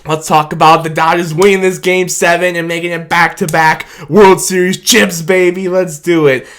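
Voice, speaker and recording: A man hosting a sports video, talking in an excited, casual way with frequent laughs and shouts of "baby" and "man", his voice a little worn from cheering. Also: on the high side, normal speed.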